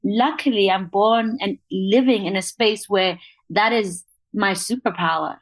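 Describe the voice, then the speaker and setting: A young woman from Lesotho with a faint accent that mixes Southern African and British sounds. She is a podcast guest who tells her story candidly, animated and laughing often. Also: medium pitch, a little slow, no echo. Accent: faint mixed Southern African and British accent.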